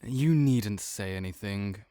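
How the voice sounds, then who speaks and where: A man's voice: fairly low pitch, takes it slowly, background noise. A man playing a proud, sardonic invalid nobleman in an audio roleplay, speaking with disdainful, formal, old-fashioned diction. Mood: curt, suspicious. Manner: haughty, archaic formal diction, disdainful drawl. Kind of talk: scripted audio roleplay monologue.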